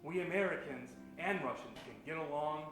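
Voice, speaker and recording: A man's voice: on the high side, a little fast, lightly acted. A man playing an earnest, flustered young hero in an improvised radio comedy, stammering and melodramatic. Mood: earnest, hopeful. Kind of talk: improvised old-time radio play acting. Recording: echoey stage, music behind.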